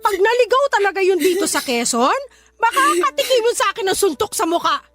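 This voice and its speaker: A woman's voice: extremely high pitch, very animated, a little fast, fairly bright tone, no echo, soft background music, full character voice. A woman playing a protective, feisty mother in a radio drama, emphatic and reassuring toward her grown child. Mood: indignant, consoling.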